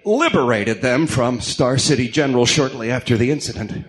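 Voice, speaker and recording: A man voicing a grim, brooding masked vigilante hero, terse, stern and deliberate, in a comic-book radio drama. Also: medium pitch, lightly acted, poor audio. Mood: terse, serious.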